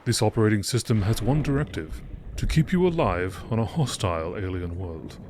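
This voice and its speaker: A man's voice: fairly low pitch, a little fast. A man reading game text aloud as scripted narration in a nature documentary style. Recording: steady background noise.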